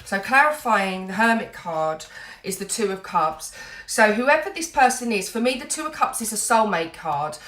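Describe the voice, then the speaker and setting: A woman's voice: medium pitch, animated, normal speed, hardly any pauses, a bit bright, roomy sound, poor audio. A woman giving an earnest, reassuring tarot reading while full of cold, dotting her talk with "you know". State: has a cold, a bit snotty. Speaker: tarot reader.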